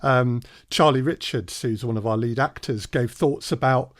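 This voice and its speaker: A man leads an informal workshop in the voice of a working audio drama producer. His pitch is mid-range for a man and he explains in a halting, pause-filled way. The sound is dry, with hardly any room on it. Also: no echo. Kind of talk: informal spoken workshop presentation.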